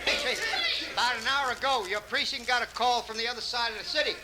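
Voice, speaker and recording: A man playing a hesitant, fumbling news reporter in a comic radio play, halting and stammering. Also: very high voice, big pitch swings, over a noisy crowd, very noisy. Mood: nervous, halting.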